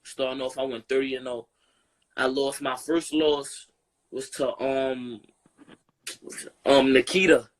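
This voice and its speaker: A man's voice: mid-range voice, little pitch movement, slow pace, long pauses, rough recording. A young man with a New York accent, a boxer talking casually in an interview, laid-back and often adding "you feel me?". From Brooklyn, New York.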